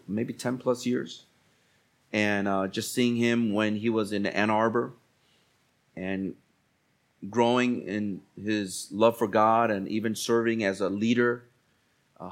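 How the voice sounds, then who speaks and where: A man preaching as a pastor in colloquial, exhorting language, repeating phrases for emphasis. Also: on the low side. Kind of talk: sermon.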